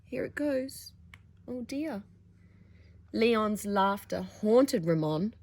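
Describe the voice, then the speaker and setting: A woman's voice: medium pitch, lively intonation, very slow, a little mellow. A woman presenting storytime, reading picture books in a playful, theatrical way with chatty asides to young listeners. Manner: playful, theatrical, with dramatic emphasis.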